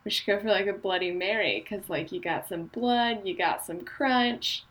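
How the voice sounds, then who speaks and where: A woman's voice: medium pitch, normal speed, fairly bright tone. A woman co-hosting a casual movie podcast, playful and teasing. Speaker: podcast co-host.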